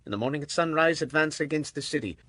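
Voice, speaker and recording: An actor playing an urgent, conspiring messenger advising a commander in a dramatized audio Bible. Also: male voice, fairly high pitch, quick pace, pushed and bright, dry recording. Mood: urgent scheming.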